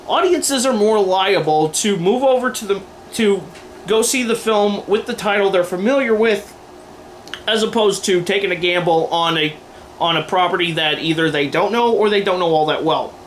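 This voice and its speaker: A man's voice: high voice, normal speed, a little hiss or hum, rough recording. A man delivering opinion commentary to camera in an emphatic, wordy, informal way, like a host going through a list. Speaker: video host and aspiring filmmaker.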